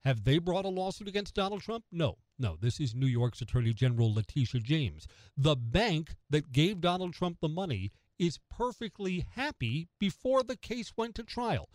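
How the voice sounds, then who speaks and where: A man's voice: fairly high pitch, very animated, normal speed, hardly any pauses, a little mellow, dry recording. An American man giving conversational opinion commentary as a radio host, emphatic, asking questions and answering them himself. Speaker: radio talk show host.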